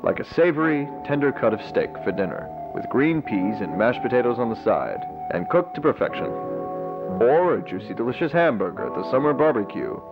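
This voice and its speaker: A man playing a polished, persuasive corporate pitchman narrating a scripted infomercial. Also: sing-song, normal speed. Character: smooth-talking corporate pitchman for a meat substitute. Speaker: advertising narrator. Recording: dull lo-fi video audio over music. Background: music.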